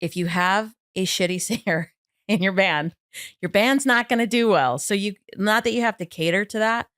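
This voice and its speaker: A woman vocal coach, a guest on a podcast, telling stories in a lively, chatty way with plenty of fillers. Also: mid-range voice, moderate pace, no echo, studio quality. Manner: animated, chatty storytelling with many fillers. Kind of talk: casual podcast interview.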